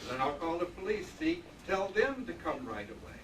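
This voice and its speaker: A man acting a high-pitched, desperate scheming killer. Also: big pitch swings, normal speed, a bit bright, reverberant. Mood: menacing. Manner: tough old-time gangster talk. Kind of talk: old-time radio drama acting. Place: convention stage, live performance. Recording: distant, noisy live stage recording.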